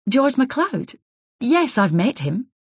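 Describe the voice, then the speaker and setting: An indignant, strained woman , as a narrator puts her on, a little higher than the narration. She protests hotly and sounds under stress. The sound is husky, dry and a little dull. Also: big pitch swings, takes it slowly, no echo, full character voice.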